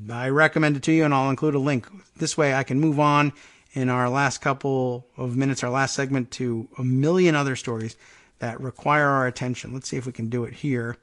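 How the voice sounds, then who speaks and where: A man hosting a political talk show, reading news stories aloud with wry asides, halting on hard names and restarting often. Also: mid-range voice, fairly even intonation, fairly bright tone. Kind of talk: casual news commentary, reading headlines aloud.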